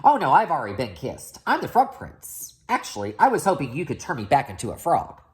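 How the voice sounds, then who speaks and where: A woman's voice: sits low, huge pitch swings, brisk. A woman voicing a hapless young storybook prince, earnest, polite and flustered, often pleading. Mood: eager pleading. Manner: polite, flustered, repeats himself.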